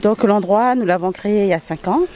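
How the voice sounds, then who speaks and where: A woman's voice: medium pitch, warm tone. A woman who owns a leisure site, answering interview questions plainly and with some hesitation. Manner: plain, halting, with fillers.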